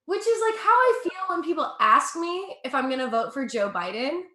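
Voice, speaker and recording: A woman's voice: sits high, big pitch swings, moderate pace, bright, forceful tone, reverberant. A young woman from Russia with an American accent doing a chatty, profane stand-up set, laughing at her own jokes. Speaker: stand-up comedian.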